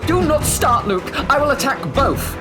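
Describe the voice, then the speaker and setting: A man's voice: very high voice, animated, normal speed, music in the background. A man playing a flustered, stammering army officer in an audio drama, urgent and frightened. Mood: flustered command. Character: panicky British army officer fleeing the undead, male.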